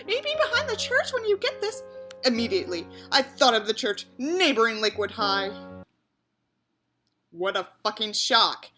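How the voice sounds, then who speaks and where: A woman's voice: fairly high pitch, bright, forceful tone. A woman reading a story aloud as a narrator, breaking in with mocking, sarcastic asides and casual swearing. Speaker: audiobook reader and commentator.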